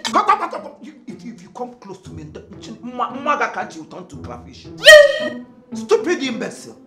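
A man's voice: very high voice, sing-song, normal speed, a bit bright, heavily acted. A man playing an indignant, domineering husband in a comedy skit, blustering with a Nigerian accent. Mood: outraged. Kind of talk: broad comedy skit acting. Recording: echoey room, faint music.

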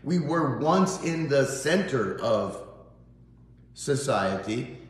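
Folksy Southern pastor in an echoey church. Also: male voice, medium pitch, takes it slowly. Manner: folksy, conversational, animated. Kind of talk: informal morning devotional. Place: small church sanctuary, indoors. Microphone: phone camera on a tripod, close up.

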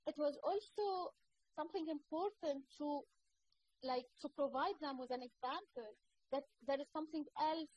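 A woman's voice: sits high, darkish tone. A woman in her thirties with a Lebanese accent, speaking earnestly as a panel guest over a video call, with many fillers and restarts. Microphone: laptop microphone on video call. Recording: muffled video-call audio with faint noise.

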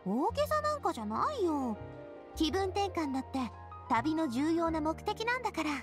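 A woman playing an elegant, warm-hearted young lady boss in an anime game, sincere and expressive. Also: very high voice, normal speed, music in the background. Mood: cheerful. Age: young adult. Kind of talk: Japanese anime game voice acting.

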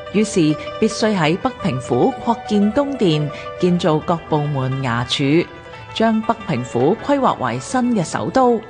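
A woman with a Hong Kong Cantonese accent reads a scripted history documentary in a formal, measured way. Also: fairly low pitch. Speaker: narrator of a radio history programme.

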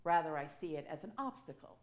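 A woman in her sixties, an assisting priest, preaching a sermon from the pulpit in a conversational, storytelling way with lively gestures, speaking into a pulpit microphone. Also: low-pitched, quick pace. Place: church sanctuary, at a pulpit. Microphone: gooseneck pulpit mic. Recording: echoey church sanctuary.

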